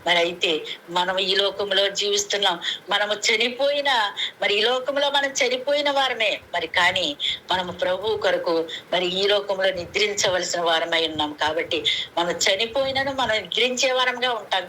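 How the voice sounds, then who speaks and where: Noisy conference call, woman w preaching, sharp forceful voice, repeats phrases to drive it home. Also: mid-range voice, moderate pace. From Andhra Pradesh, India. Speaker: lay preacher. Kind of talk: sermon, retelling scripture to a prayer meeting. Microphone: conference call phone.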